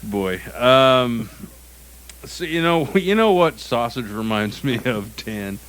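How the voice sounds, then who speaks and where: Laughing podcast co-host with faint hiss. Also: male voice, medium pitch, sing-song, takes it slowly, fairly bright tone, rough recording. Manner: reacts with short quips, laughs a lot, and trips over his own sentences. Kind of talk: casual comedic banter.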